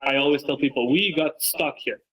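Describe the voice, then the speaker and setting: A man's voice: a little high, normal speed, a crowd in the background, rough recording. A young man from Romania, a native Romanian speaker, talking as a guest in a casual interview in an earnest, reflective way with plenty of fillers and restarts. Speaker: guest, football creator and coach. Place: lives in Massachusetts.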